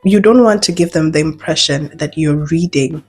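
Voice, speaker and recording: A Kenyan woman with a low, somewhat husky voice gives practical job tips in a vlog. She speaks conversationally and leans hard on her main points, repeating them for emphasis. Soft music plays faintly behind her. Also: normal speed. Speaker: English teacher giving job advice. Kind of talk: advice vlog, speaking to camera.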